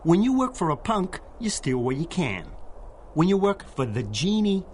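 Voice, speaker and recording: A man's voice: high-pitched, big pitch swings, normal speed. Guy doing a cranky 30s crook boss, really up and down, slight noise. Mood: bossy, irritable. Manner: barking, streetwise tough-guy slang. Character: gruff, bossy, short-tempered 1930s gangster foreman. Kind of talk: 1930s-style radio drama acting.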